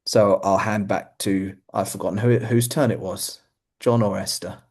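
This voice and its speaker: A man's voice: lowish voice, fairly even intonation, normal speed, a little mellow. A man presenting in a webinar, explaining step by step in an informal way with imagined examples.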